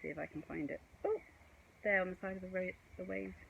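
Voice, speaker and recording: A woman reading a children's picture book aloud in an expressive, sing-song way, with chatty asides to young viewers. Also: on the low side, fairly quick, vocal fry, highs cut off, slight background noise. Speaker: story reader.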